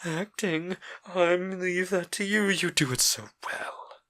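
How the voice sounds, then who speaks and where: A vain young gentleman from an old novel who lashes out with cutting scorn, as a male reader voices him. His voice rises higher than the reader's own and turns cold and disdainful. It is heard in a storybook reading. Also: fairly even intonation, takes it slowly. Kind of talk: audiobook character voice.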